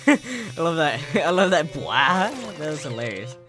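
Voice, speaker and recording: Low-voiced, unhurried woman commentator. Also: expressive. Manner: giggly, echoing lines and singing along. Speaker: video commentator. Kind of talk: casual reaction commentary over a cartoon. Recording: loud music behind the voice.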